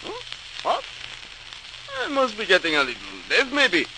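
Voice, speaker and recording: Crotchety old shopkeeper for a vintage radio play: wildly swooping pitch, absent-minded mumbling, hissy old recording. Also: male voice, wildly swooping pitch, normal speed. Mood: muttering, teasing. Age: older. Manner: muttering, hesitant, easily startled. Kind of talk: old-time radio drama acting.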